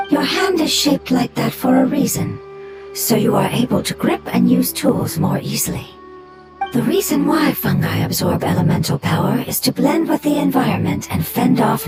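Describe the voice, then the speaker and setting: Old mushroom spirit, all breathy whisper, sing-songy, loud music behind. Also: high-pitched. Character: ancient fungus-like nature being, calm and caring. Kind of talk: video game voice acting. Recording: game audio over loud music.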